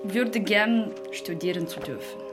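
A woman's voice: medium pitch, a little slow, music in the background. A woman in her twenties from Uzbekistan, a non-native speaker, retells a story off the cuff, searching for words as she goes. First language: not German. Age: 20s. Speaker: interviewee retelling a film. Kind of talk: unscripted spoken retelling.

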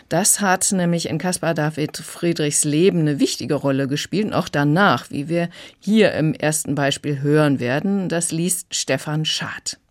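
A woman giving a conversational, explanatory book review as an audiobook critic on a radio programme. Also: sits low.

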